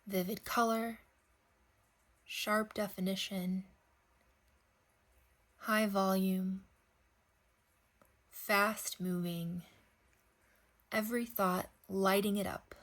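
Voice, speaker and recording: A woman leading a guided sleep meditation, speaking gently in unhurried phrases with long pauses. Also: mid-range voice, steady tone.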